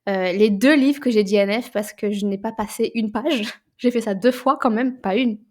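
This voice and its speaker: Chatty Québécoise podcaster. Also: female voice, a little high, normal speed. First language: French. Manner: animated, repeats words when searching, trails off quietly. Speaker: podcast co-host. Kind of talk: casual book chat.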